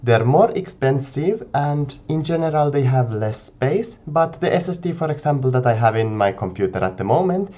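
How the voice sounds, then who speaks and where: Man with a cold, lively pitch. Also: moderate pace, few pauses. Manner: earnest, explanatory, animated rise and fall. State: has a cold. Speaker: gaming video creator. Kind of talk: casual commentary to camera. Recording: dull lo-fi mic recording.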